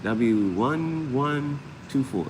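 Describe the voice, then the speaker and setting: A man's voice: mid-range voice, slow. A man giving a casual, off-the-cuff live tarot reading as host, often repeating phrases and peppering his talk with slang and sound words. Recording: roomy long reverb, clear steady background noise.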